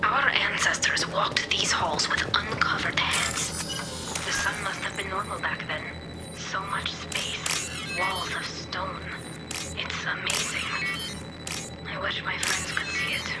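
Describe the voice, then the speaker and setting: An actress voicing an earnest young female quarian engineer who speaks softly and hushed, then turns eager, in a video game. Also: medium pitch, fairly even intonation, takes it slowly, natural voice, low-quality recording. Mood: hushed awe. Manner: soft, hushed and wondering, turning eager. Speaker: alien engineer ally. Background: game music.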